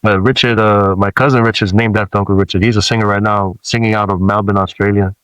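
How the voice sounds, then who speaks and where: A man in his forties who grew up in Samoa speaks in a lowish voice, unhurried and full of fillers as he tells stories about growing up. The sound is close and dry, with no room around it. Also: clean tone, no room sound, low-quality recording. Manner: relaxed storytelling with many fillers. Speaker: rapper guest. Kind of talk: casual interview talk.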